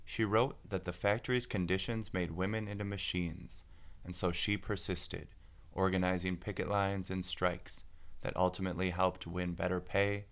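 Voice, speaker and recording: Deep, gravelly man reading a storybook. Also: steady tone, moderate pace. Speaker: read-aloud narrator. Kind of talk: scripted storybook narration.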